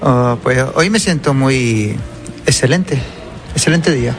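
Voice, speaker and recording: A man's voice: mid-range voice, unhurried, music in the background. A middle-aged man from Venezuela, telling his migration story in an interview in an earnest way.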